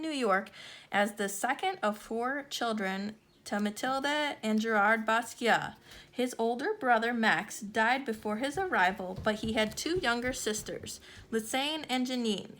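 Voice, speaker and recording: A woman reads a storybook aloud for children. Her voice sits a little high and has a clear bright edge. She delivers the scripted narration in measured phrases, leaving deliberate pauses. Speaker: children's book reader.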